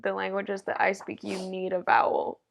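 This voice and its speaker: A woman's voice: mid-range voice, expressive, normal speed, creaky. A woman hosting a casual chat, hesitant and chatty with many fillers. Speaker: host.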